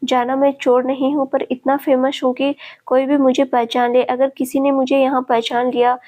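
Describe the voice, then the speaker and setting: A woman voicing a cocky, famous young bachelor man who teases and speaks slowly and deliberately. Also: high voice, little pitch movement, mellow, lightly acted. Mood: teasing.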